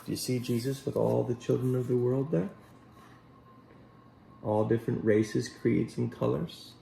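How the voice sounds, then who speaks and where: A man reading a Bible story aloud to children in a gentle, unhurried way, pausing to address them directly as a Sunday school teacher. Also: medium pitch, steady tone, vocal fry, a little hiss or hum, rough recording.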